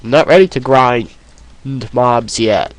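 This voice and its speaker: A man's voice: medium pitch, takes it slowly, a little hiss or hum, low-quality recording. A man with a cold, sniffling as he rambles through casual let's play commentary. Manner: rambling, self-deprecating, many ums.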